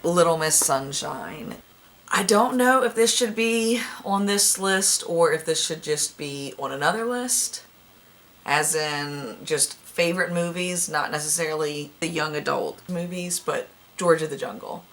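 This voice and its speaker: A young woman with a raspy voice, chatting casually and gushing about her favorite movies, thinking aloud as she goes. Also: sits low, animated, measured pace, a bit bright. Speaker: vlogger.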